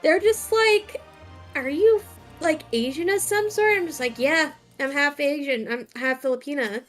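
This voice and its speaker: Young woman streamer, slow and halting, lots of ums, higher voice, bit of music under it. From United States. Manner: hesitant, many fillers and restarts. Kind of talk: casual chat.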